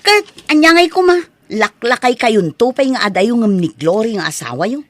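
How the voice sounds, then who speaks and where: A woman's voice: fairly high pitch, expressive, normal speed, no room sound. A woman with a Filipino accent playing an outspoken, disapproving adult daughter in a comedy radio drama, insistent as she argues. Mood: defiant. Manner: insistent, protesting.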